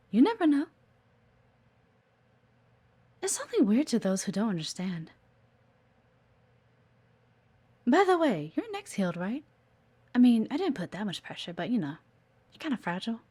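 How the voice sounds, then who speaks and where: A coy, teasing female executive assistant acted by a woman in an audio roleplay, speaking in a high, very animated voice. She is chatty and observant, addressing the listener intimately with a faintly menacing edge under the playfulness. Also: brisk, slightly rough. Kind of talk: intimate scripted audio roleplay, one-sided conversation with the listener.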